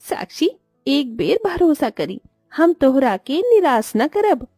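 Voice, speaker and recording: A woman's voice: high-pitched, very animated, slow, warm tone, no room sound, studio quality, faint music behind, full character voice. A woman voicing a meek, naive young village daughter-in-law in a cartoon, pleading humbly with a Bhojpuri accent. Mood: earnest. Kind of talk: cartoon moral story voice acting.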